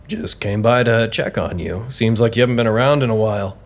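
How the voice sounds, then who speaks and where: A man's voice: on the low side, a little fast, lightly acted. A man acting in an audio drama as a wry, concerned colleague who asks pointed questions. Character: wry, concerned male colleague in a secret facility.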